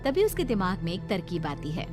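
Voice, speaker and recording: A woman's voice: lowish voice, sing-song, fairly quick, music in the background. A woman storyteller reading a scripted tale as narrator for a children's story.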